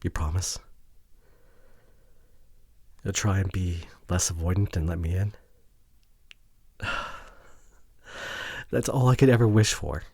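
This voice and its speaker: A man playing a remorseful, devoted boyfriend in an intimate ASMR audio drama, with a breathy voice that often drops toward a whisper and an earnest, halting delivery. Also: low-pitched, darkish tone, no room sound, heavily acted. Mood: tender, vulnerable. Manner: emotional, earnest, halting with sighs. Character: remorseful, devoted boyfriend pleading to repair relationship.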